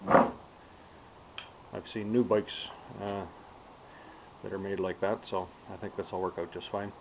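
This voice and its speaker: Unhurried man, dull lo-fi recording. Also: low voice, flat delivery. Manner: unhurried, halting, thinking aloud. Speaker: DIY bicycle tinkerer presenting a build. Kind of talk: casual unscripted workshop demonstration. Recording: dull lo-fi sound with background noise.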